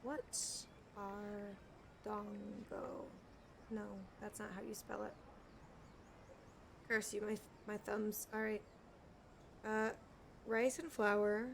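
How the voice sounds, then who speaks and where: A woman's voice: mid-range voice, flat delivery, takes it slowly, pauses often, noisy background. A woman game streamer giving casual live commentary as she plays. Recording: game music behind the voice.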